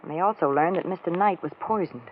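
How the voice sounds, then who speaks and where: A woman playing a concerned, quick-witted lady companion to a sleuth in a 1940s radio mystery. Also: on the low side, close to an everyday voice. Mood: puzzled, concerned. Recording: muffled, hissy old-time radio recording.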